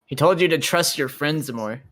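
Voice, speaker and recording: A woman's voice: sits low, moderate pace. A woman playing a tabletop roleplay, chatting casually in character in a blunt, sardonic, sweary way. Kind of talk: casual, improvised in-character chat.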